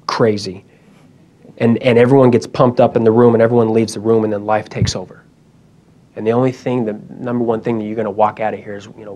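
A man's voice: lowish voice, darkish tone. A young man giving an emphatic, urgent motivational talk at a conference, speaking into a handheld mic in a hall.

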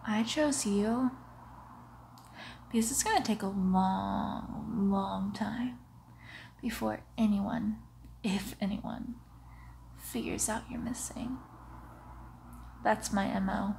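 A young female vampire, acted out by a woman for an ASMR roleplay, murmurs extremely slowly and teasingly. Her breathy voice often drops to a whisper, menacing and close to the mic, with wet mouth sounds. A clear bed of room noise sits behind her. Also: medium pitch. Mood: menacing, hungry. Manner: slow, hushed, often slipping into whispers, teasing.

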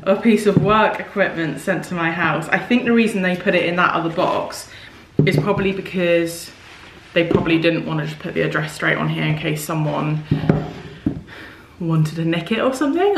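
Croaky-voiced woman chatting to camera. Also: fairly low pitch, fairly bright tone, a little hiss or hum. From United Kingdom. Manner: chatty, rambling, frequent fillers. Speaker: vlogger. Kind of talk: casual vlog chat to camera. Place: parked car, then home hallway. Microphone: camera on dashboard, then handheld camera.

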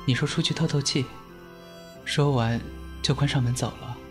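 A man acting a gentle, sincere young man in an audio drama, earnest and quietly devoted. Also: mid-range voice, fairly even intonation, normal speed, music in the background, close to an everyday voice. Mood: wistful, sincere.

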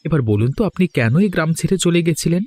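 A man's voice: on the high side, expressive, quick pace, darkish tone, no room sound. A man voicing a polite, helpful young village boy in a cartoon, with an earnest manner. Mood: curious, polite. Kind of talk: Bengali cartoon voice acting. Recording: faint music behind the voice.

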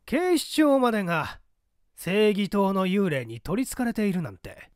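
Man acting a blustering older bureau chief, pitched up. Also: huge pitch swings, normal speed, no room sound, clean studio sound. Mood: scoffing, incredulous. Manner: blustering, old-fashioned gentlemanly speech. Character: brisk, pompous older male government bureau chief, bluff father.

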